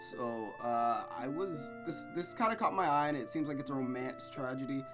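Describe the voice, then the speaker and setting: A man hosting a visual novel playthrough, chatting casually with animated, wry reactions to what he reads. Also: mid-range voice, normal speed. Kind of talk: casual chatty let's play commentary, reading game text aloud. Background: game music, looping rain.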